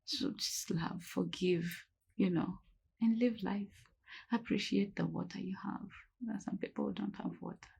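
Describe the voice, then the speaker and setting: A woman's voice: medium pitch, fairly even intonation, moderate pace, creaky, darkish tone, slightly rough. A woman in her thirties, speaking earnestly in a documentary interview. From Kenya. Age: 30s. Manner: earnest, urging.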